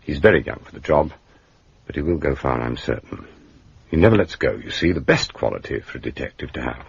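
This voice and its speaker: Wry English gentleman sleuth, very husky, animated low voice, dry muffled radio drama. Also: a little fast, dry recording, full character voice. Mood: reflective, affectionate. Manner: understated, politely hesitant, dryly humorous. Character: courteous, wry gentleman detective, mild and unflappable. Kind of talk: British radio drama acting.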